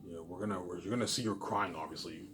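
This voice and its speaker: A man vlogging in a casual, rambling monologue, boastful and repetitive, swinging between excited bursts and muttered asides. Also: low-pitched, fast, noisy background. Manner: repetitive, with emphatic catchphrases; boastful, self-assured.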